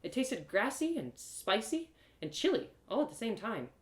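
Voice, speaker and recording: A woman reading a first-person fantasy story aloud in a dramatic, expressive way. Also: a little high, normal speed. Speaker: storyteller, author reading live. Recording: roomy echo.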